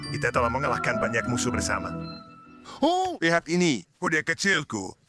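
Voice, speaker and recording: A man voicing a kindly, playful, nostalgic grown-up in a children's cartoon dub, with an expressive, theatrical delivery. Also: medium pitch, moderate pace, faint music behind.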